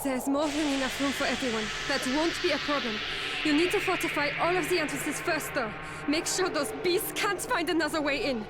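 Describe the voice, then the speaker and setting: A woman's voice: high-pitched, a little fast, heavy background noise, close to an everyday voice. An actress playing a gracious but wary woman who survived a plague, polite and sober, in a video game. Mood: grateful, practical. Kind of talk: video game cutscene acting.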